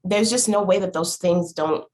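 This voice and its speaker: A woman's voice: on the low side, moderate pace, a little mellow, a little hiss or hum. A woman hosting a podcast, talking in a casual, reflective way with lots of "like" and "you know" as she thinks aloud. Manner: thoughtful, searching, filler-heavy with 'like' and 'you know'. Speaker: podcast host.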